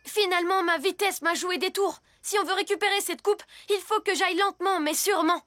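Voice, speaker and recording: A woman's voice: very high-pitched, steady tone, a little fast, a bit bright, no echo, clean studio sound. A woman voicing a plucky, earnest six-year-old superhero in a French children's cartoon, speaking eagerly. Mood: earnest, determined. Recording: music behind the voice.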